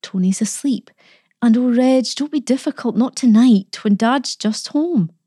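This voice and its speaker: A harassed mother from a storybook, played by a woman narrator. She is anxious and exasperated, moving between rebuke and pleading, in a mellow voice with a dry sound. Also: fairly high pitch, a little slow, husky, no room sound. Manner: sharp rebukes turning to pleading. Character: harassed, anxious scolding mother of a young son.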